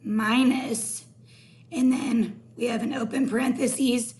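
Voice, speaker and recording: A hoarse woman teaching a recorded math lesson, explaining each step slowly. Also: on the high side, fairly even intonation, darkish tone.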